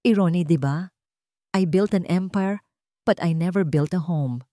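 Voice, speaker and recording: A woman voicing a wounded, wistful young businessman in a storybook drama, speaking softly and confidingly. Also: fairly low pitch, unhurried, no room sound, clean studio sound, lightly acted. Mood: bitter, resigned. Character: wealthy young businessman, wounded, wistful, bitter about duty.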